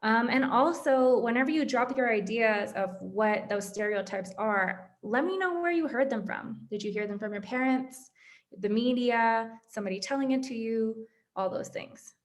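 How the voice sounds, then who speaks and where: A woman's voice: fairly high pitch, normal speed. A woman co-hosting a student webinar, speaking plainly and conversationally and addressing the audience directly. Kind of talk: presenting a discussion talk.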